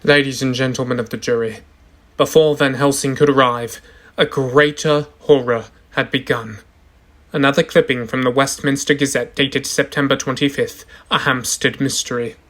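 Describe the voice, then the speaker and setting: A courtroom lawyer, acted by a man, narrating evidence in a radio play. His medium-pitched delivery is formal and declamatory. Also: fairly even intonation, moderate pace, a bit bright, natural voice. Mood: grave, dramatic. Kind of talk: stage radio drama narration.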